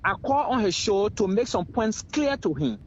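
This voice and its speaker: High-pitched, animated Liberian man, arguing emphatically. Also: normal speed, highs cut off, a little hiss or hum. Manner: emphatic, repeats phrases for effect. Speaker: political talk show guest. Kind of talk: heated political commentary.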